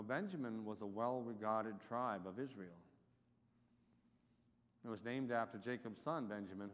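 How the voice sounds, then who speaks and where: A man preaching a sermon, with emphatic delivery that swells and drops in loudness. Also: mid-range voice. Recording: dull reverberant hall recording.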